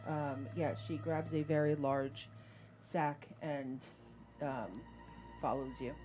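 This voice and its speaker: Low-voiced woman game master over music. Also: steady tone, slow pace, a little mellow. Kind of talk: narrating a tabletop roleplaying game. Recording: prominent music behind the voice.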